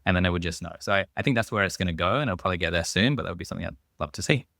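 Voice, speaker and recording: Male expert panelist, very low clean voice, dry recording, conversational and explanatory. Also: rapid-fire, gravelly, pushed and bright, dry recording.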